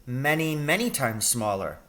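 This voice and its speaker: A man's voice: mid-range voice, lively intonation, unhurried, sharp tone. A man voicing an eager, earnest young boy in a storybook, questioning and pleading with his father.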